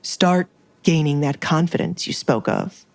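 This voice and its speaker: A woman playing a confident, commanding young duke in an audio drama, imperious and measured. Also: low-pitched, lively intonation, gravelly, exaggerated voice.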